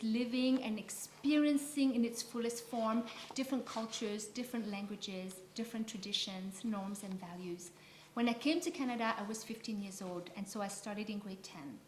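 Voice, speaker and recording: A woman's voice: fairly high pitch, slight background noise. Woman's voice in an echoey room. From Vietnam. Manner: measured, storytelling. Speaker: guest. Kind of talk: interview conversation. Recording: echoey room, faint ambience.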